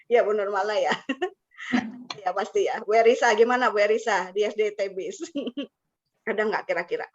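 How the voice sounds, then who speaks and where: A woman's voice: mid-range voice, normal speed, long pauses. A woman hosting an interactive online webinar, chatty and engaging listeners with questions. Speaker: webinar host and teacher mentor. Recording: muffled lo-fi online call audio.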